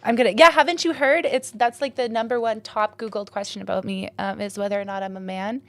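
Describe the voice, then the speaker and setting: A woman's voice: mid-range voice, fairly even intonation, no echo. Giggly, teasing woman podcast host. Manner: giggly, teasing, talks in quick playful bursts. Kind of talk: casual joking chat.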